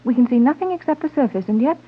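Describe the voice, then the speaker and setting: An actress playing an earnest, motherly, refined woman adventurer in a 1930s radio serial, reasoning gently. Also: sits high, expressive, fast talker, slightly rough. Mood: uneasy. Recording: muffled, narrow-band old radio recording.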